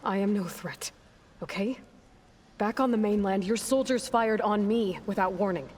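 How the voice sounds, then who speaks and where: An actress playing a determined, guarded young heroine in a video game, plain-spoken, direct and self-assured. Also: female voice, medium pitch, moderate pace, darkish tone, slight background noise, close to an everyday voice. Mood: defensive, firm. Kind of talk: video game cutscene acting.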